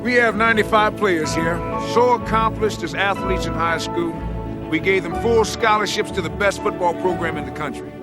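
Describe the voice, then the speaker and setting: Man playing a high-voiced stern coach, loud music. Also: moderate pace, lightly acted. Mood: stern warning. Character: stern, plain-spoken football coach addressing hopefuls.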